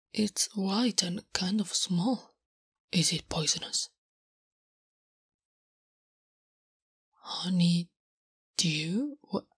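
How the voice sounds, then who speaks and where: Woman acting as orc warrior woman, naive and protective, breathy whisper, unhurried halting speech, close dry ASMR sound. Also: mid-range voice, no echo, exaggerated voice. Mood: shy, curious. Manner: halting, literal, third-person stilted speech.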